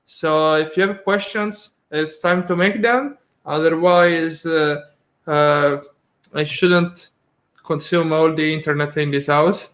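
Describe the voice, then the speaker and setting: A slightly higher-voiced man hosts a casual live stream interview. He talks in an easy, chatty way, laughs often and fills his pauses with "uh". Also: fairly even intonation, a bit bright.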